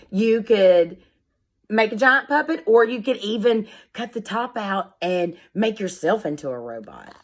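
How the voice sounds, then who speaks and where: Woman teacher reading aloud to children. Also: lowish voice. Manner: instructive, simply worded, addressing children directly. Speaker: schoolteacher. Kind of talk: read-aloud with explanations for young children.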